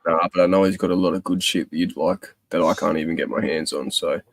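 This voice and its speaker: A man's voice: low-pitched, fairly even intonation, fast talker, creaky, no echo, clean studio sound. A man chatting casually, blokey, swearing and saying bro constantly. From Australia. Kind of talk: casual chat.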